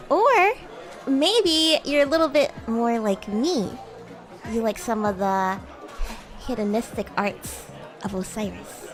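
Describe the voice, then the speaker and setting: A woman plays a bratty, playful demon schoolgirl on a roleplay livestream. Her high voice is very lively and swings widely, yet she takes her time between phrases. Her manner is teasing and giggly. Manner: teasing, giggly, addresses listener as human. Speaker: VTuber streamer in character, chatting with viewers. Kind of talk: improvised in-character roleplay livestream chat.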